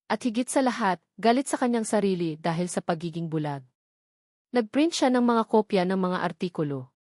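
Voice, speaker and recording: A woman's voice: medium pitch, expressive, normal speed, a little mellow, no room sound. Filipino woman narrating, close dry sound. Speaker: audiobook narrator. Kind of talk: dramatic scripted story narration.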